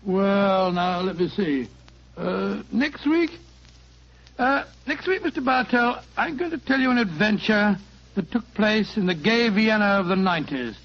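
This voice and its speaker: An actor playing a genial, doddering older doctor who muses hesitantly in 1940s radio style. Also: male voice, high-pitched. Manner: hesitant, musing, repeats himself. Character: genial, doddering older English doctor telling his adventures. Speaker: actor in a radio mystery series. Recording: dull 1940s radio broadcast.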